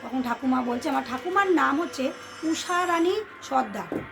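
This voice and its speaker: A woman tells a ghost story to listeners in an expressive, suspenseful way, repeating phrases for effect. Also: high-pitched, moderate pace, vocal fry. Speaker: storyteller, channel host. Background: background music.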